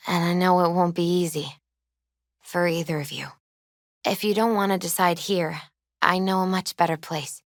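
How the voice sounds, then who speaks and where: A gentle young woman who reads tarot as a game actress plays her, speaking in a lowish, soft-spoken voice. She is measured and earnest, with a mystical, foreboding weight to her delivery. The sound is very dry, as in game dialogue. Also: fairly even intonation, no room sound, natural voice. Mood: casual, caring. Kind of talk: video game character dialogue.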